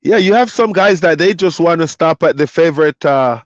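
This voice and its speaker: A man's voice: a little high, animated, moderate pace, no echo. Laid-back man streaming, dull dry audio. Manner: laid-back, loose, reads chat comments aloud. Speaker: trucker hosting a live stream. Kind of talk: casual live stream chat.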